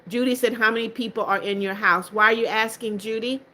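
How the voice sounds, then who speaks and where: Bright-voiced strict older woman. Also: on the high side, steady tone, brisk. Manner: strict, firm about blocking spammers. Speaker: livestream host. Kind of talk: reading out live chat comments.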